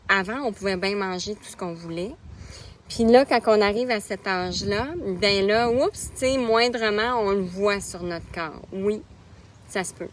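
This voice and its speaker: A woman's voice: mid-range voice, a little hiss or hum. Chatty Québécois woman in her thirties. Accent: Québécois French. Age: 30s. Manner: casual, chatty, greets viewers by name mid-sentence. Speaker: nutrition coach. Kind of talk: live stream chat with viewers.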